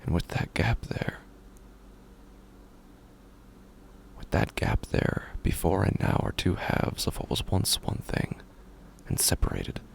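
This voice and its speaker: A deep, heavily creaking voice of a bereaved, sleepless man, played by a male actor, narrating a horror audio story. He is subdued and brooding, speaking haltingly in a breathy near-whisper. A faint layer of noise sits behind the words. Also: sing-song, exaggerated voice. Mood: hushed, haunted. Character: grieving, hollow, sleepless man wandering at night. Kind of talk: scripted first-person horror audio fiction narration.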